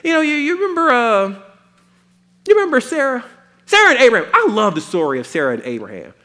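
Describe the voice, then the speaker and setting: A man preaching a sermon in a folksy, animated way with playful asides. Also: extremely high pitch, fast.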